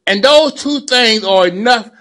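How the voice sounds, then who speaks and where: A man's voice: very high-pitched, measured pace, sharp tone. A man in his seventies giving a speech to a gathering, preacherly and repeating phrases for emphasis. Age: 70s. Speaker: lawyer and community activist.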